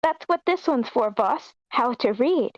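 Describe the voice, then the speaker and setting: A woman's voice: a little high, expressive, unhurried, no echo. A woman playing a simple, loyal young male henchman with a deferential manner, in a script table read, through dull audio.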